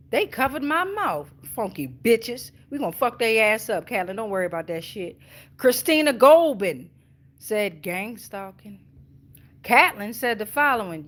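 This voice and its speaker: A woman's voice: fairly high pitch, a bit bright. A woman hosting a casual spiritual tarot reading, blunt and slangy, swearing freely and repeating phrases for emphasis. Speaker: tarot reader, show host.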